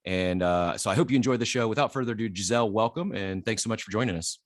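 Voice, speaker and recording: A male podcast host with a lowish voice, recorded close and dry. He talks haltingly, often restarting his words and tossing in light jokes. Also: no echo. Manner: halting, stammering, joking. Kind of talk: casual interview talk.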